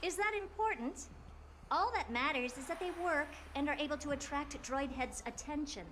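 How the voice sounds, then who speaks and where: A woman playing a haughty, brisk genius scientist in a video game, explaining things in an imperious, matter-of-fact way. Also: sits high, a bit bright, a little hiss or hum. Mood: dismissive. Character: haughty, brisk genius female scientist who commands puppets. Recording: game music behind. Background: game music.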